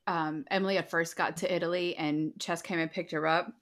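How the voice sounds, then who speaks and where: A woman's voice: lowish voice, steady tone, brisk, a bit bright. A woman co-hosting a casual book club podcast, blunt and opinionated, swearing freely. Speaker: podcast co-host.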